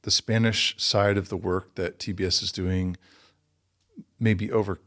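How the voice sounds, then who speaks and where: A man's voice: deep voice, fairly even intonation, measured pace, fairly bright tone. A man hosting an interview, asking long questions while searching for words. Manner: hesitant, searching for words with ums. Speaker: podcast host.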